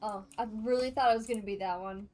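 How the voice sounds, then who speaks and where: A woman's voice: medium pitch, fairly even intonation, fast talker, darkish tone. An American woman chatting casually with livestream viewers in slangy everyday talk while she plays a game. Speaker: game streamer.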